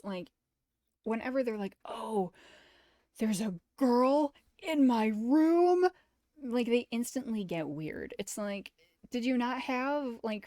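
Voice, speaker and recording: A woman's voice: mid-range voice, darkish tone, dry recording, studio quality. A woman giving informal, opinionated commentary as a game streamer, peppering her speech with "like". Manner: opinionated, informal, uses "like" often. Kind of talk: casual commentary and visual novel read-aloud.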